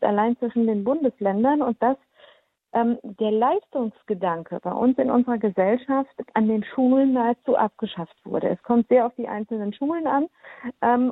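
A woman's voice: fairly high pitch, slightly rough, dry recording. A woman from Romania giving firm, articulate opinions on talk radio with frequent filler ums, heard over a tinny phone line. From Transylvania, Romania. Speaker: studio guest.

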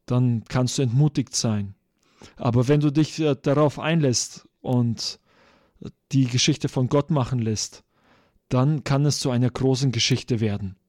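A man working as an interpreter, translating speech aloud in halting, pieced-together phrases. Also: medium pitch, a little mellow, no room sound, studio quality. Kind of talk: consecutive spoken interpreting.

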